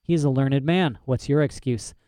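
A man's voice: mid-range voice, moderate pace, warm tone, no echo, clean studio sound, natural voice. A man voicing a stern sardonic lord. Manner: curt, probing questions.

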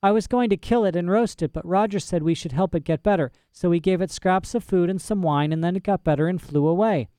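Brief: man reading as a defiant little girl, raised pitch, soft mellow tone, dry close sound. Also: fairly quick, hardly any pauses, smooth, dry recording, close to an everyday voice. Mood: eager. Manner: mumbling, evasive, then eager. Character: curious defiant young girl.

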